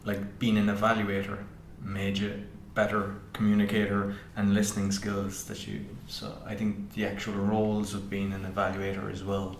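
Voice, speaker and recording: A man's voice: lowish voice, completely flat delivery, moderate pace, hardly any pauses. A man hosting a podcast, asking loose, rambling questions full of fillers. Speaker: podcast host. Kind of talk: casual interview questions. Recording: echoey room with faint hiss.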